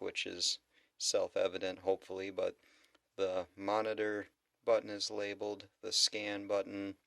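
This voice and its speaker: Low-voiced man with a creaky edge. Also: a bit bright, dry recording. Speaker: product reviewer. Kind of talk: unscripted product review talk.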